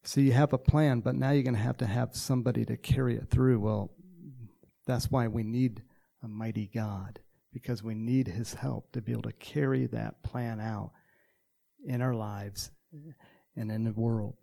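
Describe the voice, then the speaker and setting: A man's voice: mid-range voice, fairly even intonation, moderate pace, gravelly, warm tone. A man in his sixties from Texas with a slight Texan accent, preaching in a casual, rambling storytelling way with many fillers. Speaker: pastor.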